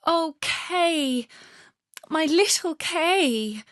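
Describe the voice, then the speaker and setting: A woman plays a small, earnest girl in a fairy tale. The voice is high and tender, pleading and anxious, recorded very dry. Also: lively intonation, drawn-out words, a bit bright, dry recording, heavily acted. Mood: sorrowful. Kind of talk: children's fairy tale audiobook.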